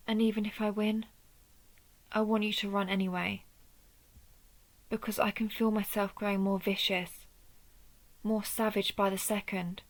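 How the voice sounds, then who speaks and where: A woman playing a guilt-ridden vampire hunter in an intimate audio roleplay, with a halting, brooding delivery. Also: mid-range voice, little pitch movement, lightly acted, poor audio. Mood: urgent, menacing. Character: guilt-ridden female vampire hunter, wounded, turning dark. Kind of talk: dramatic audio roleplay spoken to one listener.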